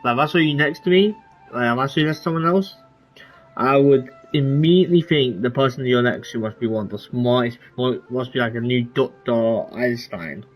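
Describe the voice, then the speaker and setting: A man's voice: medium pitch, brisk, faint music behind, rough recording. Rambling man reacting to a game. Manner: rambling, musing. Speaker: gaming streamer. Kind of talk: casual reaction commentary.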